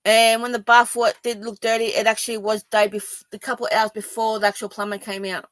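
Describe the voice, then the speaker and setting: A woman's voice: on the high side, level tone, a little fast, fairly bright tone, no room sound. An Australian woman from Sydney speaking to viewers in a personal video, rambling and defensive, with many ums and restarts. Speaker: vlogger.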